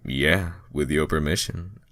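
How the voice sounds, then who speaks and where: A man voicing an easygoing, confident young cartoon hedgehog hero in a casual, deliberate manner. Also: extremely low pitch, fairly bright tone, no room sound, clean studio sound, exaggerated voice. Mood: suggestive, confident.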